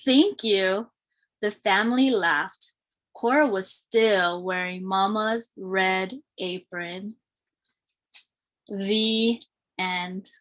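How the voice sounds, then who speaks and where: A woman reading a children's storybook aloud in a deliberate, expressive way, with clearly separated phrases. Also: medium pitch. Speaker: children's read-aloud reader.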